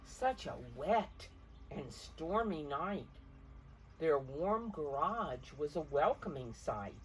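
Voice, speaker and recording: Older female preschool storyteller, slow and animated, simple questions and explanations, distant mic with faint noise. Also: low-pitched. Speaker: children's storybook reader and teacher. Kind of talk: picture-book read-aloud for preschoolers, talking to the children.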